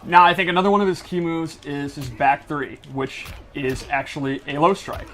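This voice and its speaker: Guy w slightly high voice explaining fighting game moves, casual n enthusiastic, kinda technical. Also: fairly even intonation, normal speed, a bit bright, a little hiss or hum. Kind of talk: casual gameplay breakdown.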